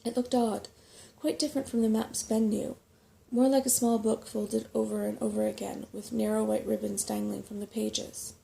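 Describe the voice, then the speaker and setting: A woman storyteller reads a children's book in a soft-spoken ASMR style. Her voice is mellow, with noticeable vocal fry at a middle pitch. There is a faint noise behind her. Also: flat delivery, normal speed.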